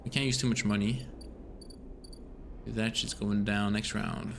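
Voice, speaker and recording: Hoarse-voiced male streamer. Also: lowish voice, unhurried, slight background noise. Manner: relaxed, hesitant, lots of fillers. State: voice going hoarse. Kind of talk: casual game stream chat.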